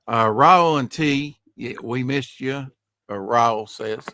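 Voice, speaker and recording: A male podcast host with a slightly raspy voice, chatting casually in a rambling way, full of fillers and trailing off. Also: medium pitch, slow pace, pushed and bright, dry recording, clean studio sound. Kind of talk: casual chat.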